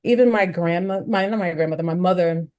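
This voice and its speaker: An American woman hosting a podcast, talking reflectively and thinking aloud with restarts and quick asides. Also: on the low side, mellow. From United States. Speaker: podcast host. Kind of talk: conversational interview chat.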